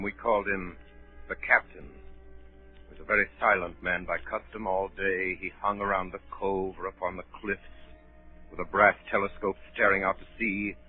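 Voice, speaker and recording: An actor playing a man gravely recalling his boyhood as narrator of a 1930s radio drama. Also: fairly low pitch. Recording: muffled, thin 1930s radio broadcast, faint music.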